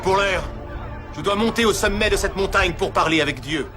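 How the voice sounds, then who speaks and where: A devout prophet, acted by a man speaks with calm, earnest reassurance in a fairly high male voice. It is Bible cartoon acting, set against loud music and crowd noise. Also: moderate pace, close to an everyday voice. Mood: earnest, reassuring. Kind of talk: animated Bible film acting.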